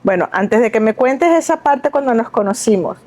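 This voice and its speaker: A woman hosting a podcast, asking encouraging, conversational questions with lively reactions. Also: on the high side, moderate pace. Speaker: podcast host, interviewer. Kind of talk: casual conversational interview.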